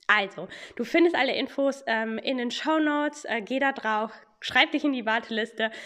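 A young woman who hosts a podcast and teaches yoga, talking in a relaxed way with a slight cold and often asking "ja?" as she explains. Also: on the high side. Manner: chatty, many fillers, explains with rhetorical "ja?". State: has a cold.

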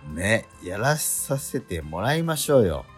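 A man's voice: lowish voice, big pitch swings, unhurried. A man introduces a radio programme as its host. He is casual and conversational, checking in for agreement as he goes. His intonation swings widely over faint background music.

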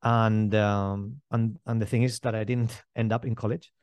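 A man's voice: fairly low pitch, little pitch movement, moderate pace, darkish tone, no room sound. Man, dry close sound. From Spain. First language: not English. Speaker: podcast guest, entrepreneur. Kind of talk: casual interview storytelling.

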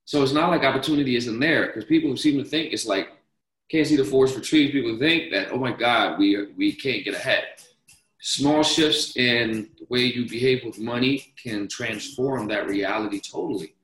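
A man's voice: medium pitch, moderate pace, a bit bright, roomy sound, poor audio. A young man from Denmark, a podcast guest talking about investing, who rambles and restarts his sentences often. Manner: rambling, many restarts and repeated words. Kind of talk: casual interview talk.

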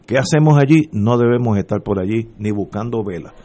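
A man's voice: lowish voice, brisk, mellow. A man hosting a talk radio show, giving political commentary with emphatic rhetorical questions and frequent restarts.

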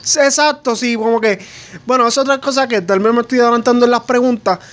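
A man's voice: extremely high pitch, steady tone, fast talker, a bit bright, muffled recording. A man hosting a casual interview, informal and self-deprecating, feeling his way through his questions. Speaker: interviewer, host.

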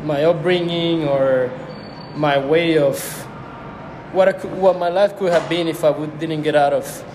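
A man's voice: a little high, steady tone, unhurried. A young man from Cuba whose first language is Spanish, speaking as an interview guest in a reflective way and searching for his words. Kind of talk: casual conversation. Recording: roomy with background noise.

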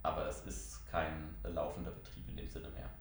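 Very reverberant room, low-pitched middle-aged male, halting, reflective, interview answers. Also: monotone, a little hiss or hum. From Germany, near Mainz. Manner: halting, reflective, with frequent hesitations. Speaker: interviewee, member of parliament and IT entrepreneur. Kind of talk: conversational interview answers, recounting own career.

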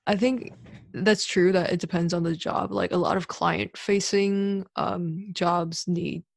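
Easygoing young woman with a gravelly voice. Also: fairly low pitch, steady tone, a little slow, no echo, studio quality. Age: young adult. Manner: easygoing, many "um" and "mm" responses. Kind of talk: casual chat.

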